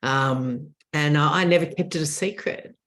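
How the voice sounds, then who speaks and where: A woman talks in a lowish voice. She speaks earnestly and with emphasis, often doubling words to drive a point home. Her delivery is conversational, as a guest answering in an interview. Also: moderate pace, fairly bright tone.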